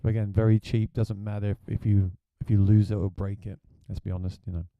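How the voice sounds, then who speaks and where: A man with a deep voice, a gigging musician, chatting plainly through a gear review. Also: flat delivery, fast, no echo, narrow, tinny audio.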